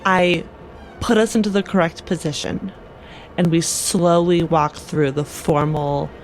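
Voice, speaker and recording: A woman's voice: lowish voice, steady tone, a little slow, a little hiss or hum, rough recording. A woman playing a tabletop game, casually describing what her character does. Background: music.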